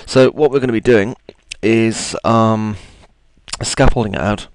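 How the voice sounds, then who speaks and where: Gravelly deep-voiced man. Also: fairly even intonation, measured pace, no echo, poor audio. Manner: chatty, self-deprecating, thinks aloud with ums. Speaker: software tutorial host. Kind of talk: screen-recorded software tutorial.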